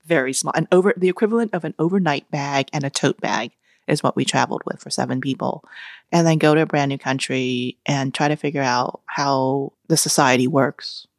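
A woman in her forties, born in Vietnam, telling family stories in a relaxed interview, laughing often and acting out what her relatives said. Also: very deep voice, fairly quick, dry recording, clean studio sound. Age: 40s. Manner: animated, laughs often, acts out family quotes. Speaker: guest. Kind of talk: casual storytelling interview.

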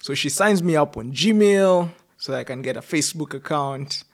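A man's voice: on the high side, lively intonation, normal speed. A man from near Nairobi with a Kenyan accent, telling stories casually as an interview guest in informal, slangy speech. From outskirts of Nairobi, Kenya. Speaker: interview guest, startup founder. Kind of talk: casual conversational storytelling.

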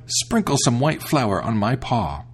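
Sly gruff fairy tale wolf, guy reading it, husky, coaxing tone. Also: medium pitch, sing-song, unhurried. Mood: menacing. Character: gruff cunning male wolf villain.

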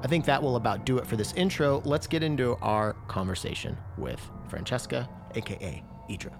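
A man's voice: medium pitch, lively intonation, brisk, gravelly. Podcast host, man in his thirties, loose rambling delivery, music playing behind. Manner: rambling, filler-heavy, enthusiastic. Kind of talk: casual podcast intro and interview.

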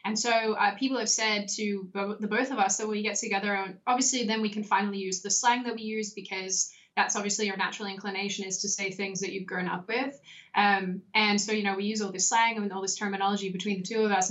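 A young Australian woman talks her way through stories in a casual interview, with plenty of "like" and "um" along the way. Her pitch sits in the middle for a woman, and the audio is a little dull and lo-fi. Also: fairly bright tone. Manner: chatty storyteller, frequent likes and ums. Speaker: interview guest.

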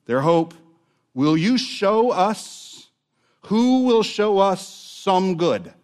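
A man's voice: high voice, big pitch swings. A male pastor preaching a sermon, dropping to a near whisper and then rising again, stretching out key words. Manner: dramatic swings from loud to hushed, drawn-out words.

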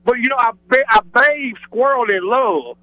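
High, bright-voiced Southern man calling in. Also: moderate pace. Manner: exuberant, digressive, folksy showman. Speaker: regular radio phone-in caller. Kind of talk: rambling, folksy radio call-in monologue. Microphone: telephone. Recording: radio broadcast phone call.

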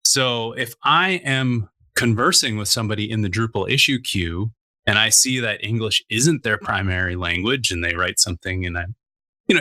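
A man's voice: fairly low pitch, pushed and bright, no echo. A man from Minnesota speaking reflectively as a guest on a conversational panel. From Minnesota, USA. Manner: reflective, thoughtful, some self-restarts.

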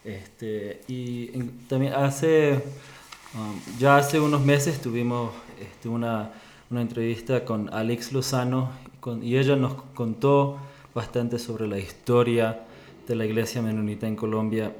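A man's voice: medium pitch, fairly even intonation, a little mellow. Hesitant man in an echoey room. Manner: hesitant, halting. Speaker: podcast interviewer. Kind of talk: casual interview questions. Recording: echoey room with background noise.

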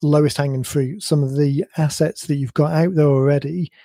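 A man co-hosting a podcast in casual chat, his voice a bit croaky, thinking aloud in long rambling sentences. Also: fairly high pitch, steady tone, moderate pace, mellow, dry recording, clean studio sound. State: slightly croaky voice. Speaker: podcast co-host.

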